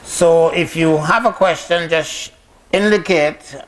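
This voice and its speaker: A man's voice: a little high, slow, low-quality recording. A man chairing a question session, courteous and lightly joking. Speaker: moderator.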